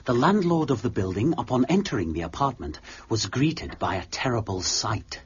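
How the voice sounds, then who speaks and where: An actor playing an earnest, courteous gentleman friend of a detective, with a formal, dramatic way of speaking in a period mystery drama. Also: medium pitch, big pitch swings, normal speed, highs cut off, slight background noise, lightly acted. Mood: grave, dramatic. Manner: polite, formal, dramatic when reading aloud.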